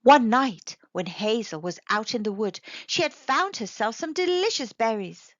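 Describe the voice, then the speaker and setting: A woman storyteller reading a children's picture book aloud with expressive narration. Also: on the high side, moderate pace, no echo, dull audio.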